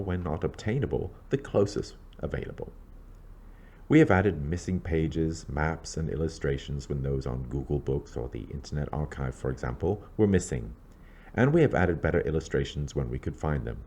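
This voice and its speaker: A man reading scripted narration aloud in a measured, scholarly, documentary-like way. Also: rumbling low, darkish tone, breathy edge.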